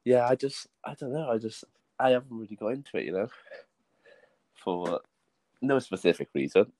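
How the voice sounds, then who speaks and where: A man's voice: mid-range voice, lively intonation, moderate pace, dark tone. A young man with a Welsh accent, a guest chatting casually on a podcast, self-deprecating and hesitant, often restarting his sentences. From Wales.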